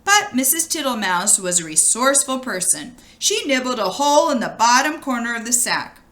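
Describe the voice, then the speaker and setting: A woman reading a children's storybook aloud in an expressive, animated way, as if to young listeners. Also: on the high side, normal speed. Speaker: storybook reader.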